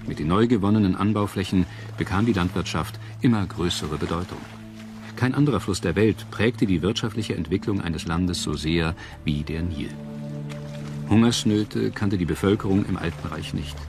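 Deep-voiced male documentary narrator over music. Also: moderate pace. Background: background music.

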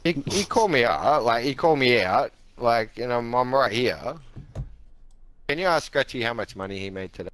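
A man's voice: medium pitch, animated, normal speed, a bit bright, clean tone. A man in heated voice chat, aggrieved and swearing as he repeats himself over a headset. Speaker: stranger in game party chat.

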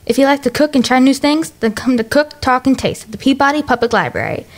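An American woman with a fairly high voice hosts a radio show. She delivers scripted links and segments in the style of a station presenter. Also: quick pace. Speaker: radio host. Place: radio studio.

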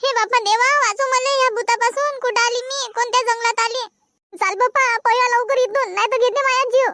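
A performer doing a shrill, panicked village wife. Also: female voice, level tone, moderate pace, no echo. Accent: Varhadi Marathi. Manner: frantic wailing pleas to god. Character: terrified village wife lost in a haunted forest. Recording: dry close recording with faint music.

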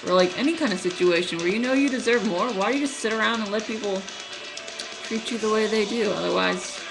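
A young woman in college who stutters, talking casually to her webcam in a small room, with halting speech, many fillers and restarts. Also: medium pitch, gravelly, over music, poor audio. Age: young adult. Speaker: vlogger. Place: small room at night, indoors. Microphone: webcam at close range.

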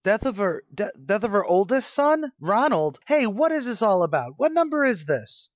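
A man's voice: sits high, very animated, normal speed, dry recording, studio quality. Haunted man acted in a suspense radio play. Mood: panicked, disbelieving. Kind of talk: old-time radio suspense drama acting, first-person narration.

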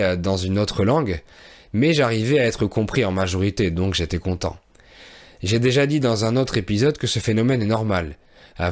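A man in his thirties from France, a fairly low-voiced language teacher recounting a trip to learners. He speaks in a clear, measured way, with the odd soft "euh". He is recorded close on a studio microphone in a small indoor room. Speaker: French teacher and podcast host. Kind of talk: relaxed storytelling for language learners. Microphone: studio microphone on a boom arm, close.

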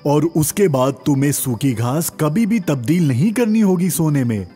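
Man as a mellow, commanding storybook prince. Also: on the high side, lively intonation, slightly rough, faint music behind. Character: greedy young prince, commanding and grand. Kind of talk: children's cartoon story voice acting.

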